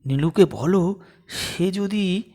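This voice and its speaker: A man voicing a shrewd, gently sarcastic middle-aged mother who manages her family in a comic audio story. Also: high voice, slow, exaggerated voice. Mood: wry. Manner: understated, wry, managing.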